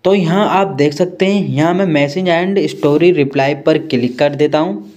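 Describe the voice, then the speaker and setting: A man's voice: on the high side, measured pace, a little mellow. A man narrating a step-by-step tech tutorial in a repetitive, instructional way. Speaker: tutorial host.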